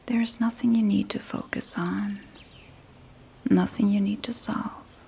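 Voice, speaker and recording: A woman softly guiding a sleep meditation in a breathy ASMR whisper, soothing and gentle, with long pauses between short phrases. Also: medium pitch, fairly even intonation, a little hiss or hum. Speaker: meditation guide.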